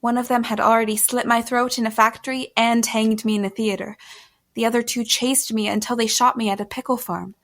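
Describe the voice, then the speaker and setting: A high, youthful female voice, acted by a woman playing a hunted young woman in an audio drama. She is frightened and bewildered. Her delivery is earnest and pleading, with hesitant breaks. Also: little pitch movement, a little fast, close to an everyday voice. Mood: anxious, earnest.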